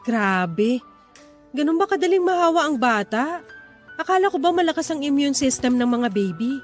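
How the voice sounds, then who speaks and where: Young wife, high voice, fretful, questioning, radio drama, faint music behind. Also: female voice, sing-song, normal speed. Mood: worried. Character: anxious young wife and first-time mother.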